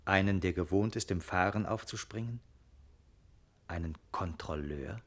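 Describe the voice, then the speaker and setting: A reflective radio play narrator with a low voice. He muses aloud and turns his telling into questions, as if thinking it through. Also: a little slow, husky. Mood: musing. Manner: musing, rhetorical questioning.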